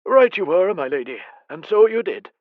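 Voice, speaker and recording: A man voicing a grizzled older Irish stablemaster who speaks gently with a lilting, upward inflection. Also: mid-range voice, big pitch swings, a little fast, no echo, narrow, tinny audio, voice pushed up. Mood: reassuring.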